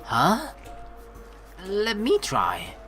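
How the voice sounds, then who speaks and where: A woman's voice: mid-range voice, wildly swooping pitch, extremely slow, a bit bright. A woman hosting a game playthrough, reading narration aloud and adding playful, chatty commentary over music. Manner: playful, chatty, reacts to the story. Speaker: let's play host.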